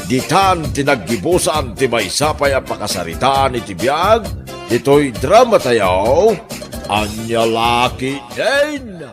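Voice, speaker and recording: A man's voice: mid-range voice, big pitch swings, takes it slowly, over music. A man with an Ilocano accent delivering a scripted dramatic introduction as a drama announcer.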